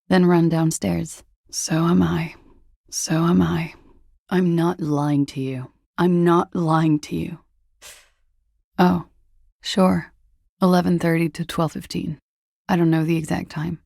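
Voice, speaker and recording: An actress playing a weary, guarded young female corporate spy in a video game, with a smoky, deadpan, confessional delivery. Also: lowish voice, gravelly, no echo, clean studio sound, exaggerated voice. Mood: haunted, subdued. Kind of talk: video game character dialogue.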